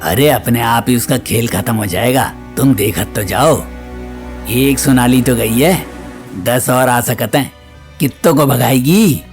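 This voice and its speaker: A male actor voices a scheming small-town sidekick. His mid-pitched voice is cocky, coarse and colloquial. Faint music plays behind the drama. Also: a little slow, lightly acted. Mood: cynical, practical. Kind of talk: Hindi audio drama acting. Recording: music bed under the voice.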